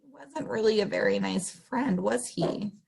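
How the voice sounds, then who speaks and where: A woman's voice: medium pitch, measured pace, vocal fry, darkish tone, slightly rough. A woman hosting story time, reading to young children in an exaggerated, sing-song, interactive way. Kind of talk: animated picture-book read-aloud for young children.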